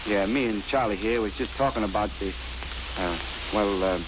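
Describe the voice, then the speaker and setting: A man's voice: fairly low pitch, close to an everyday voice. Actor as nervy shipyard henchman, noisy old radio. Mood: nervous. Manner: colloquial, halting, agitated. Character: nervous, hesitant, defiant working-class shipyard henchman. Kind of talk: 1940s radio acting.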